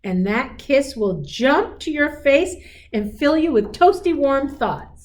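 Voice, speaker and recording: A woman voicing a gentle, reassuring mother raccoon from a children's storybook, speaking in a deliberate way. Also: high-pitched, big pitch swings, voice pushed up, heavily acted. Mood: tender, teasing. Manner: soft-hearted, slow, deliberate storybook delivery.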